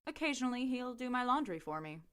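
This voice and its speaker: Woman acting formal, curt, deadpan lab colleague. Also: on the high side, fast talker, smooth. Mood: dry. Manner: clipped, deadpan, precise. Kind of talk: audio drama acting.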